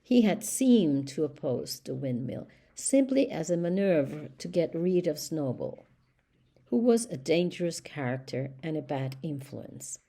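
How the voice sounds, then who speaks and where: Low, mellow woman reading a story aloud. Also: big pitch swings, unhurried. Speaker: audiobook reader. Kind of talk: scripted storybook narration.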